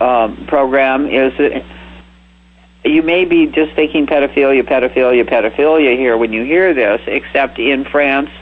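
A man hosting a radio talk show with a husky, slightly breathy voice. He talks in a loose, rambling, speculative way. The sound is thin and narrow, as if carried over a phone line. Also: medium pitch. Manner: rambling, speculative, asks guests for comments. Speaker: radio host. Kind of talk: casual talk radio discussion. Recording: tinny phone-like radio audio.

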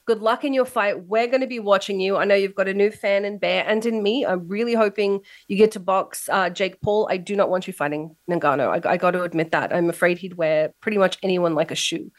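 A woman hosting a talk radio show, hoarse as she loses her voice, playful and teasing with her co-host. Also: mid-range voice, fairly quick, hardly any pauses, no room sound. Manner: playful, teasing, laughs often. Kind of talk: casual radio chat.

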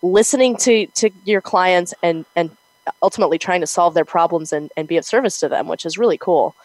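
A woman's voice: fairly low pitch, brisk, a little mellow, dry recording, poor audio. A woman co-hosting a podcast interview, reflecting on the guest's answers in an affirming way. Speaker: podcast co-host.

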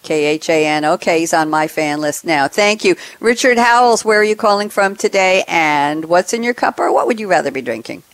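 A woman's voice: on the low side, animated, brisk, hardly any pauses, no room sound. A woman hosting a radio talk show from her home office, chatty and upbeat. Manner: chatty and upbeat, teasing sign-offs. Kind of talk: lively radio host chat.

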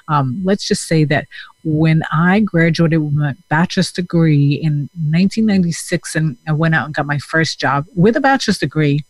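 A man, a therapist talking in a casual, reflective way with many pauses and fillers. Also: on the high side, dry recording, poor audio. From Denmark. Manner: thoughtful, hesitant with many fillers.

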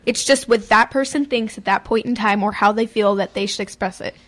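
A woman's voice: mid-range voice, fairly quick. A teenage girl co-hosting a kids' talk show, chatty and giggly, often talking over her co-host. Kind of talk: casual chat.

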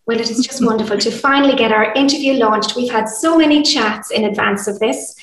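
Woman, interview host, enthusiastic, polished, higher voice, distant from mic. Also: brisk. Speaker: podcast host. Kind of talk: scripted introduction and interview questions. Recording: roomy sound, distant from mic.

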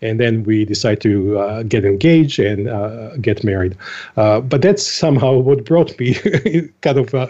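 An older man from Israel, a guest in an interview, telling his story in a relaxed way with fillers and small laughs. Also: on the low side. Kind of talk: interview conversation. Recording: dull lo-fi audio.

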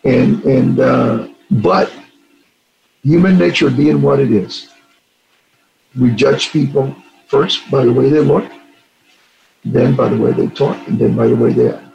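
A man's voice: medium pitch, measured pace, creaky, pauses often, darkish tone, muffled recording. An older man from Puerto Rico, a retired general, earnestly telling stories in an interview and acting out the dialogue. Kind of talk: storytelling interview answers.

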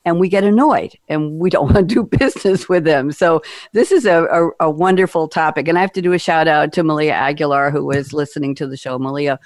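A woman's voice: deep voice, fairly quick, a little mellow, dry recording. A woman hosting a corporate podcast, chatty and upbeat, asking her listeners rhetorical questions. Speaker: podcast host.

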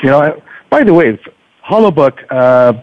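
A man's voice: a little high, expressive, measured pace, no echo, highs cut off. A man speaking as a podcaster guest in a casual interview, telling anecdotes in a chatty, hesitant way. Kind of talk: casual, conversational interview talk.

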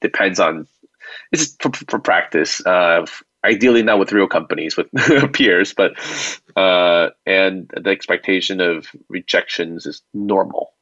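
A man's voice: deep voice, measured pace, a bit bright, dry recording. Muffled, close-dry remote audio: male interview guest, conversational and reassuring, an informal founder type. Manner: informal, reassuring, often checks with "right?". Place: remote call.

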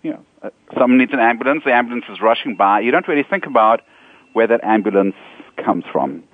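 A middle-aged man from South Africa with a South African accent, giving earnest, measured interview answers full of ums. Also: medium pitch, animated, no echo. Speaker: nonprofit executive, guest. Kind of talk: interview answers, personal story. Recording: dry, phone-like narrow sound.

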